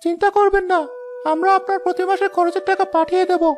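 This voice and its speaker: A woman playing a scheming cartoon stepson with an extremely high voice. The delivery is calculating, set over faint music. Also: level tone, fast. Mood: falsely considerate. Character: scheming adult stepson of a widow. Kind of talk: Bengali moral-story cartoon voice acting.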